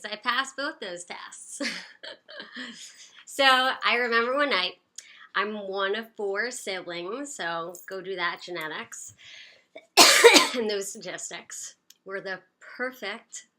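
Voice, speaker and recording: A woman's voice: medium pitch, slow, bright, forceful tone. A woman in her late thirties from the United States, telling her own story in an upbeat, chatty way with plenty of fillers. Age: 30s. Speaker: personal storyteller. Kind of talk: casual personal storytelling to camera.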